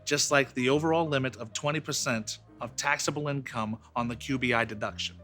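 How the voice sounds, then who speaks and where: A man's voice: mid-range voice, brisk, music in the background, natural voice. A man playing an easygoing, joking bartender in a comic noir drama. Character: friendly, joking male bartender. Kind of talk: noir parody acting.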